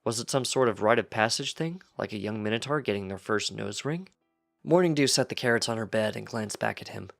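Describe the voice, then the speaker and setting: Low-voiced man narrating a story. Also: fairly quick, creaky, faint music behind. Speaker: fanfiction reader.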